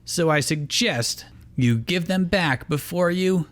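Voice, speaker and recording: A man's voice: a little high, takes it slowly, fairly bright tone, voice pushed up. A man voicing a scornful, bossy teenage girl gym leader with a haughty sneer, in a story reading.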